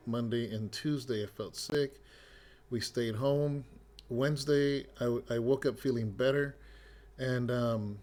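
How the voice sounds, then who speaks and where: A middle-aged man, a pastor, slightly hoarse and raspy as he recovers his voice, telling a loose, rambling story full of fillers in a casual devotional update. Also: medium pitch, a little mellow. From California.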